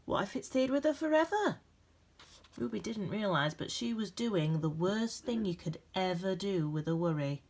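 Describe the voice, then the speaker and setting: A woman reading a children's picture book aloud with gentle, expressive storytelling emphasis. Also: mid-range voice, normal speed. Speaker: storybook reader.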